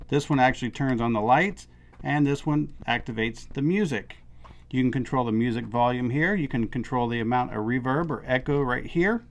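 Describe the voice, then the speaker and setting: A man's voice: medium pitch, normal speed. A man in his thirties, casually demonstrating a product and explaining it step by step in an upbeat way. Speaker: product reviewer.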